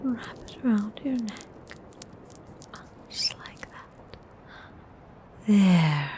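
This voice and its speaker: A woman playing a tender, possessive vampire noble in a whispered ASMR roleplay, speaking in a breathy, soothing, intimate way. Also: mid-range voice, mellow, full character voice, poor audio. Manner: soothing, slow, intimate coaxing.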